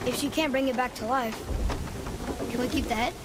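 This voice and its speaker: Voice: sits high, a little fast, noisy background, close to an everyday voice. A young performer playing a curious, chatty young boy in a video game, inquisitive and eager with casual phrasing. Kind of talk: naturalistic video game acting.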